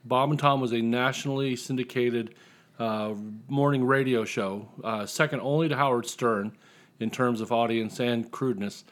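A man's voice: medium pitch, steady tone, moderate pace. A man speaking as a podcast guest in casual interview chat, explaining things reflectively. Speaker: podcast guest, writer and marketer.

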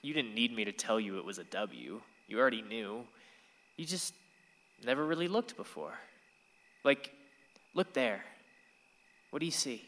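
A man acts a gentle, lovestruck teenage boy in a radio drama. He speaks earnestly and tenderly at a middling pitch. The sound has a long reverb. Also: animated, normal speed, pauses often, close to an everyday voice. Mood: tender, dreamy. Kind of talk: radio play acting.

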